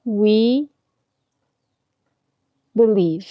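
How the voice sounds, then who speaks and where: A woman guides a meditation at an extremely unhurried pace. She sets down short phrases deliberately and soothingly, leaving pauses between them. Her medium-pitched voice sounds close and dry, with no room echo. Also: dull audio. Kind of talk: guided meditation, spiritual talk.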